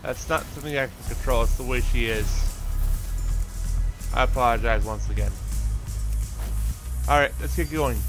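A man's voice: mid-range voice, moderate pace, pauses often, rough recording. A man giving casual gameplay commentary, muttering to himself as he plays, with game music behind him. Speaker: gaming streamer.

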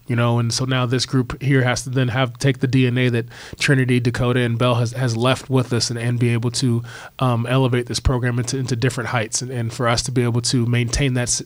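A man in his forties, a basketball coach answering postgame press questions at a table mic, talking in a measured way with many restarts. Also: medium pitch, dry recording. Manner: repetitive restarts, steady and measured. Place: press conference room, seated at table. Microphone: table mic on stand.

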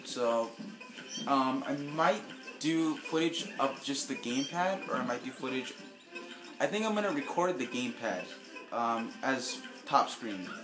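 A man casually commenting while he plays a video game, slightly raspy and hesitant, with lots of ums, filmed off a TV at home. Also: mid-range voice, lively intonation, measured pace. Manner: rambling, hesitant with many ums. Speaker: gameplay video host. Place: home room with TV, filming screen. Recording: echoey room, game music behind.

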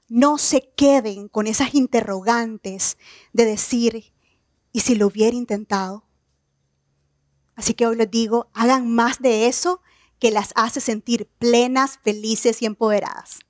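A woman in her thirties from Nicaragua giving an earnest, measured motivational talk on an outdoor evening stage. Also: fairly high pitch, steady tone, dry recording, studio quality. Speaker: keynote speaker.

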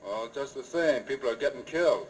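An actor playing a calm, easygoing frontier marshal in an old radio western. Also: male voice, mid-range voice, a little fast, slight background noise, natural voice, rough recording. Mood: steady, serious. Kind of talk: 1950s radio western acting.

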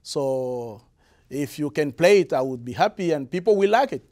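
A young man from the Republic of the Congo with a Congolese French accent, giving halting interview answers full of fillers and repeats. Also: on the high side, expressive, a little mellow. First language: Lari. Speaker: interview guest, musician. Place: studio with blue curtain. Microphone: lapel mic.

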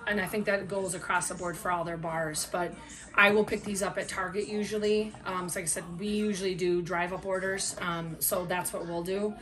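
A woman's voice: on the low side, flat delivery, a little fast, fairly bright tone. A middle-aged woman talking informally and at some length in a casual product review, recorded at a table at home. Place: small room at home, indoors. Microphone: camera a short way off across a table.

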